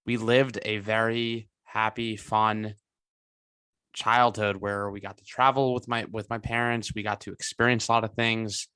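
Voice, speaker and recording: Bright, clear-voiced young man. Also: fairly low pitch, little pitch movement, dry recording. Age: 20s. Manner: earnest, halting with many restarts and fillers. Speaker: guest entrepreneur. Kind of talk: interview.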